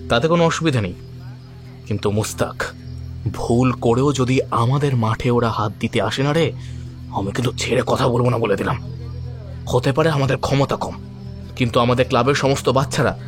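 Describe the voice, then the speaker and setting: A man's voice: mid-range voice, lightly acted. An actor playing a frustrated, defiant young neighbourhood man with a heated, colloquial manner in an audio drama. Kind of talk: Bengali audio drama acting.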